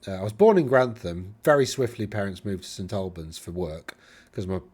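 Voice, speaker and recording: A man with a Middle England accent and a slightly low voice talks casually on his own. He rambles, corrects himself mid-sentence and drifts into chatty asides. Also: expressive, fairly quick, darkish tone, husky. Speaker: host reviewing tournament lists. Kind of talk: casual solo commentary.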